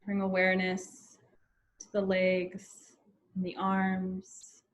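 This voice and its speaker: Slow, even-toned woman guiding meditation. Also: medium pitch, lo-fi sound. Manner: soothing, unhurried, with long pauses. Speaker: meditation guide. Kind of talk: guided meditation.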